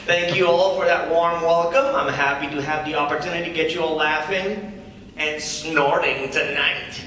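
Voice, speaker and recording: A man's voice: a little high, steady tone, a little slow, pushed and bright. A man acting as a cocky, defensive stand-up comedian with awkward, needling patter in a live script reading. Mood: awkward, nervous. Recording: distant echoey hall, faint noise.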